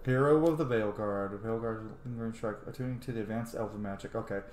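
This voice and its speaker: A man's voice: fairly low pitch, fast, darkish tone, slight background noise. A man giving informal video game playthrough commentary, musing aloud as he plays. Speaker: gaming YouTuber. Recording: roomy echo, game music behind. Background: video game music.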